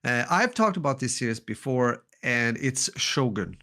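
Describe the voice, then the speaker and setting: A man's voice: mid-range voice, sing-song, moderate pace, sharp tone. A man co-hosting a casual podcast, easygoing and hesitant. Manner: hesitant, many "uh" fillers and restarts, easygoing. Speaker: podcast co-host.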